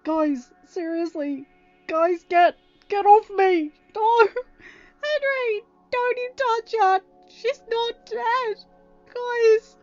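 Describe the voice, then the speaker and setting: Lady playing a young guy screaming and sobbing, desperate, high and strained, kinda muffled audio. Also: extremely slow, a little mellow, no echo, soft background music, full character voice. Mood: anguished screaming. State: crying. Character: grief-stricken young man screaming for his sister.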